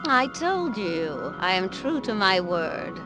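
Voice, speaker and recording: Vampire servant, scheming, drawn-out delivery, game music score behind. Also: female voice, mid-range voice, big pitch swings. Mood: gloating. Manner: slow, coaxing, then gloating and menacing. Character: scheming, vengeful female servant of Dracula. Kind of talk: game cutscene voice acting.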